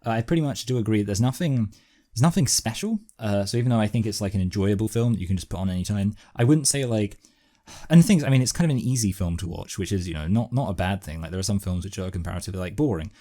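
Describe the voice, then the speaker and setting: A man co-hosting a casual, unscripted film review talk, giving measured, hedged opinions. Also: fairly low pitch, lively intonation, warm tone. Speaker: podcast co-host reviewing films.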